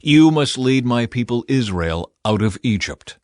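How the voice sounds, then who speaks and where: A man's voice: medium pitch, lively intonation, no echo, clean studio sound, lightly acted. A man reading as a solemn, commanding male God. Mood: resolute, compassionate. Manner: authoritative, declarative, measured. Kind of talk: dramatic scripture reading.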